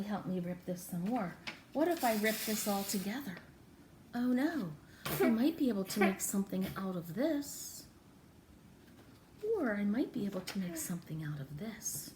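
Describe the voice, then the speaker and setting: A woman's voice: fairly high pitch, expressive, measured pace, darkish tone, a little hiss or hum. A woman teaching and storytelling for small children, talking in an exaggerated sing-song way with coaxing questions and repetition. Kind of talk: children's story time with craft demonstration.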